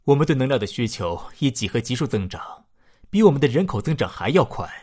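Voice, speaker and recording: A man acting in a sci-fi radio drama as a thoughtful, long-lived future human, reasoning emphatically with a slightly husky voice. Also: mid-range voice, very animated, quick pace, a little mellow. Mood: earnest, emphatic. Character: far-future man nearly two hundred, youthful, pondering humanity's fate.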